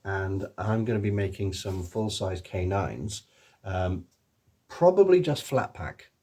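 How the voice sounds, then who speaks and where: A middle-aged man with an accent somewhere between English and Welsh, chatting casually about his projects. His voice is deep, mellow and slightly husky. He rambles freely and fills his pauses with ums and restarts. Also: expressive. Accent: mix of English and Welsh. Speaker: author, illustrator and voice actor. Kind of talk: casual video chat to camera.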